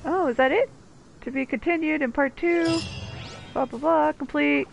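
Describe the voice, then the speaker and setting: High-voiced woman, dull recording. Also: normal speed, vocal fry. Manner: wry, mocking asides. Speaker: gaming video host. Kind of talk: casual game playthrough commentary. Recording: dull lo-fi mic, game music behind.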